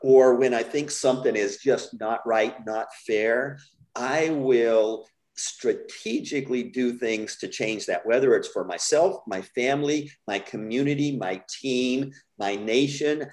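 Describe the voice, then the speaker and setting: An American man, middle-aged, speaking as an interview guest in a measured, candid, reflective way. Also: medium pitch, a little mellow. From United States. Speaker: podcast guest. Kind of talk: conversational interview storytelling.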